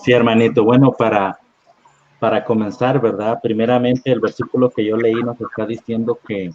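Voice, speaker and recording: A man teaching a Bible study over a live online stream, his voice sitting in the middle of the male range. He reads verses slowly and keeps asking his listeners "¿verdad?". The audio is dull and lo-fi, like a video call. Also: medium pitch, level tone, darkish tone. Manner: unhurried, reads scripture aloud, often adds "¿verdad?".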